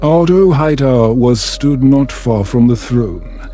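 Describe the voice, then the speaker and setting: A man narrating a bedtime story in a calm, soothing, measured manner. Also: medium pitch, soft background music.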